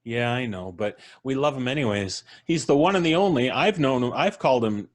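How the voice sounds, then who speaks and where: A man's voice: medium pitch, animated, a little fast. A man hosting a live podcast stream, introducing his guests with jokes and a rambling, playful manner. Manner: jokey, rambling, laughs at his own quips. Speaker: podcast host. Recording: music playing in the background.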